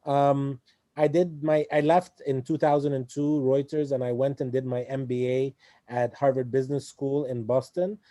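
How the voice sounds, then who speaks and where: A man's voice: medium pitch, little pitch movement, moderate pace, warm tone, no echo. A man in his forties from Egypt, speaking as an interview guest in a hesitant way, with many fillers and restarts. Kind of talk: conversational interview.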